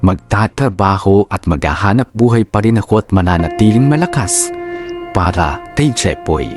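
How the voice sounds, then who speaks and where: A man's voice: lowish voice, animated, moderate pace, a little mellow, natural voice. A man playing a sorrowful but resolute old grandfather, narrating a scripted dramatic monologue. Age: older. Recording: music bed under narration.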